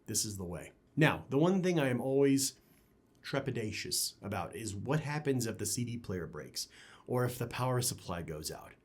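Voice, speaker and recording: A man's voice: medium pitch, sing-song, normal speed, husky. A man hosting a product review channel, talking in a conversational, opinionated way with emphatic phrasing. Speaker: audio gear reviewer and channel host.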